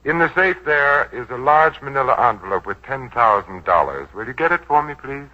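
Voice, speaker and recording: An actor playing a suave, ruthless crime boss in a 1940s radio drama, speaking in a smooth, coolly condescending way. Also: male voice, medium pitch, big pitch swings, brisk, bright, forceful tone. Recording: muffled vintage 1940s radio broadcast.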